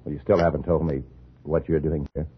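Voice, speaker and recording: A man's voice: a bass voice, little pitch movement, a little fast, slightly rough. An American actor playing a tense, dogged man on the run in a 1950s radio thriller. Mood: guarded, probing. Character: tense hunted man, framed for murder, urgent and dogged. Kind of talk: 1950s radio thriller acting and first-person narration. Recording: muffled old radio broadcast with music.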